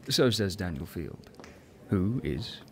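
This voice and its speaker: A man's voice: low voice, a little hiss or hum, full character voice. An actor playing an enigmatic, all-knowing man in a radio play, teasing and mocking in tone. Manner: sly, teasing, knowing, with soft laughs. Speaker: mysterious visitor.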